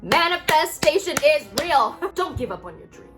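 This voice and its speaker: A woman chatting casually to camera as she tells a personal story, animated and conversational as she acts out reactions. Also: sits high, normal speed, soft background music. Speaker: actor and vlog host telling her own story.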